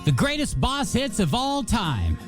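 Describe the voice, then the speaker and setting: A man's voice: sits high, wildly swooping pitch, a little slow. An American man hosting as a radio DJ, delivering upbeat oldies patter in a jokey, self-mocking showman's manner. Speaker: radio DJ and host. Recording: music playing behind the voice. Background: pop and rock music.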